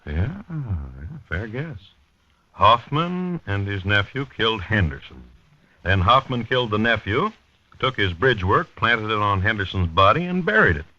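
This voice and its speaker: An American actor playing a terse, skeptical homicide captain in a 1950s radio drama, gruff and offhand. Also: male voice, on the low side, very animated, unhurried, sharp tone, no echo, exaggerated voice. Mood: terse, probing. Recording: dull vintage 1950s radio recording.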